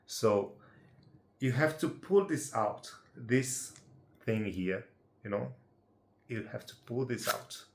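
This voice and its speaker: Brazilian man, Portuguese-accented English, mid-pitched, friendly amateur tutorial delivery full of tag questions, roomy echo on the track. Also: animated, slow, pauses often, noisy background. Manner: chatty, checks in with tag questions like "right?" and "yeah?". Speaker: amateur how-to presenter. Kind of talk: casual unedited tutorial.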